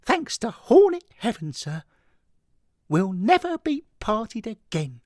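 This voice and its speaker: Eager, high-pitched English lad. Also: male voice, slow, no echo, clean studio sound, full character voice. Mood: apologetic, happy. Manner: excitable, punctuates phrases with "sir". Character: eager, emotional young English lad, deferential football fan. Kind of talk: scripted audio story monologue.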